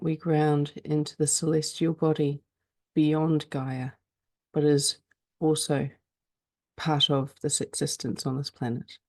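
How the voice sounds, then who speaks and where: Gravelly, mellow woman guiding a meditation. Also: low voice, no room sound. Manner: slow, calm, soothing delivery with frequent pauses. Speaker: meditation guide. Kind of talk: guided meditation.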